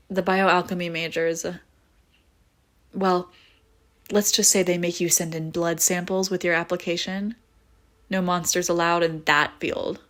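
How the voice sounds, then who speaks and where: A woman's voice: lowish voice, a bit bright. Wry, teasing young vampire woman, acted by a woman. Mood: bitter, resigned. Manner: casual, wry, teasing, swearing freely. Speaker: audio roleplay voice actor. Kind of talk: intimate scripted audio roleplay, conversational.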